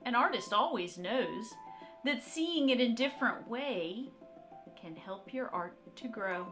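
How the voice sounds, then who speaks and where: High, animated woman reading to children. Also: unhurried, faint music behind. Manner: encouraging, expressive, addressing children directly. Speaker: children's art teacher. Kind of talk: children's read-aloud and talk to young kids.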